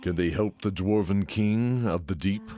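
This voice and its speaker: A man's voice: deep voice, measured pace. A man narrating a scripted fantasy adventure in a dramatic storytelling style. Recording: loud music under voice. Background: music.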